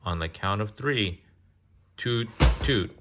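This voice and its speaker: A man with a low voice reads a picture book to a child at an unhurried pace. He is casual and interactive, often stopping for chatty asides and questions. There is clear noise in the homemade recording. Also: lively intonation. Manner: interactive, pausing to ask and explain.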